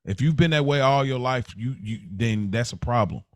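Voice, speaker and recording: An American man with a mid-pitched voice speaks as a podcast host, recorded dry and close. His motivational talk is casual and slangy, with blunt emphasis on each point. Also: normal speed, dry recording.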